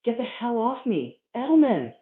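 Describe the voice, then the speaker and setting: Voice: medium pitch, very animated, normal speed, voice pushed up, natural voice. A reader voicing a bumbling, boastful male prison inmate in a comic story, with folksy bombast. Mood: indignant. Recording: echoey room, thin phone-like sound.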